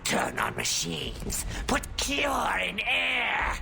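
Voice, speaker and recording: Shouting, gravelly reptilian alien thug. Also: male voice, high voice, wildly swooping pitch, extremely slow, slight background noise, heavily acted. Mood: menacing. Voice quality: rasping, gravelly snarl. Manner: broken, clipped, menacing speech. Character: snarling reptilian alien thug, crude and hostile. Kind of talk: video game villain acting.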